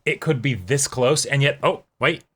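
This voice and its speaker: A man's voice: medium pitch, normal speed, a bit bright, husky. A man co-hosting a reaction show, chatting casually as he reacts and agrees. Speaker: co-host of an anime reaction show.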